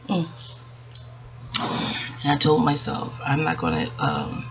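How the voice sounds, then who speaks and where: A woman in her forties talking to her viewers in a hushed, rambling, reflective way, hesitating and digressing as she goes. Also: low-pitched. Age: 40s. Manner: hushed, keeping voice down so as not to wake others. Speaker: video channel host. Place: at home, quiet dark room. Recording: dull lo-fi audio with faint background noise.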